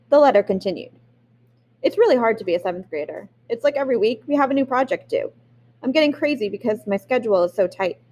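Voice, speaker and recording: Woman reading a memoir aloud. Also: medium pitch. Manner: expressive reading with occasional restarts. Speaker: audiobook reader.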